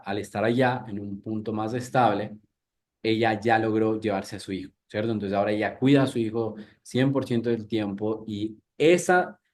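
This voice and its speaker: A man's voice: on the low side, a little mellow. Man in his thirties teaching a workshop. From Ecuador. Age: 30s. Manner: conversational, many restarts and fillers, asks the audience questions. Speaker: English teacher. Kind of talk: live workshop talk.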